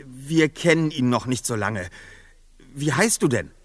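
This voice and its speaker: Radio play role: teenage detective leader, composed, articulate, self-assured, lively pitch movement. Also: male voice, unhurried, no echo, studio quality. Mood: guarded, polite. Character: confident, quick-thinking teenage boy detective, the leader. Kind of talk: German radio play acting.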